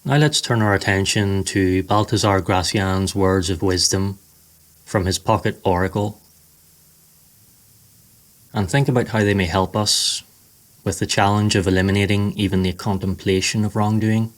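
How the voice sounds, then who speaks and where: A man narrating a scripted guided meditation in a slow, soothing, measured way. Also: low-pitched, steady tone.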